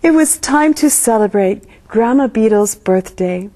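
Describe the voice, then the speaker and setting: Female children's storybook narrator, lightly high voice, highly animated delivery. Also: measured pace. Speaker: storyteller reading a picture book.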